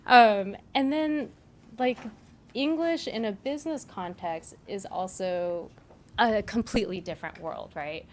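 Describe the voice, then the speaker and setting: A woman's voice: mid-range voice, measured pace. A woman in her thirties, raised in South Korea with Korean as her first language, speaks to a small audience at a meetup. She talks in a loose, chatty way, filling gaps with "like" and turning to the room with "right?". A faint hiss sits under her voice. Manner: chatty, frequent "like" and "right?", laughs between points. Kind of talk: live conference talk.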